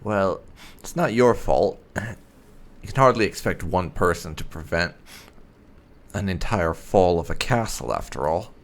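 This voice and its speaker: A man hosting a roleplay video game playthrough, speaking in a measured, theatrical, drawn-out way. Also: deep voice, expressive, vocal fry. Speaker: gaming video host. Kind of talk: roleplay video game commentary, voicing own character's lines.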